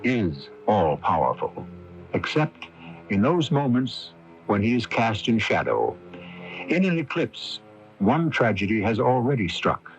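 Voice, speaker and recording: A man hosting a radio mystery series, narrating in a measured, portentous and grandiloquent dramatic style. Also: fairly low pitch, sing-song. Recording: dull 1980s AM radio tape, music.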